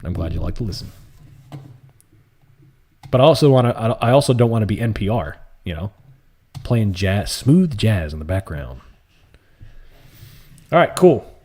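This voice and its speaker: A man hosting a live stream, chatting casually and calmly while answering viewer comments in a soft, laid-back way. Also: on the low side, normal speed, pauses often, slightly rough. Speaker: livestream trading host.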